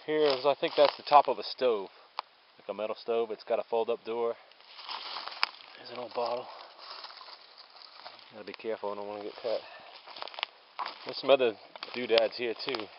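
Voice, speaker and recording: A man's voice: medium pitch, steady tone, normal speed, long pauses. A man vlogging a long-distance hike, narrating casually and thinking aloud as he walks outdoors. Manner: rambling, easygoing, thinking aloud. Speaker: thru-hiker vlogger. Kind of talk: casual handheld trail narration. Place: outdoors on a forest trail. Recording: dull lo-fi camera audio, faint outdoor noise.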